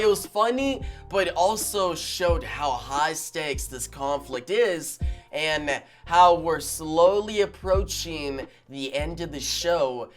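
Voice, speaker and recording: Unhurried, higher-pitched man reacting excitedly. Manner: exclamatory, slangy, emphatic. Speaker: reaction video host and reviewer. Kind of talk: casual excited reaction commentary.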